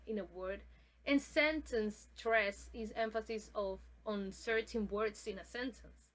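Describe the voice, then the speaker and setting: Halting young woman presenting a lesson. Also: medium pitch, fairly bright tone, poor audio. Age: young adult. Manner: halting, with many ums and uhs and restarts. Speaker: student presenter. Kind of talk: classroom presentation on pronunciation.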